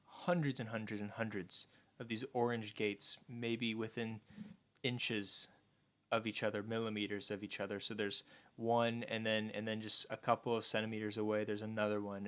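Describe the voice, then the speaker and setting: A man from the United States hosting a podcast, explaining things in a relaxed, rambling way. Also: fairly low pitch. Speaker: podcast host. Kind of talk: casual chat.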